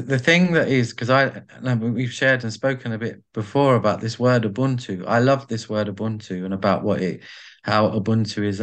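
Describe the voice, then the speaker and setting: A man being interviewed, talking in a reflective, halting way with many restarts as he searches for words. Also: on the low side, a bit bright. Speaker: podcast guest, artist and carer.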